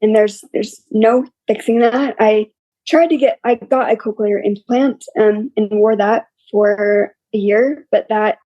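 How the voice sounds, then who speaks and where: A young woman with a scratchy, quiet voice, openly telling her story as an interview guest in a plain, matter-of-fact way. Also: mid-range voice, fairly even intonation, a little slow, dry recording. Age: young adult. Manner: open and matter-of-fact, listing hardships plainly. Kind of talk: candid personal storytelling.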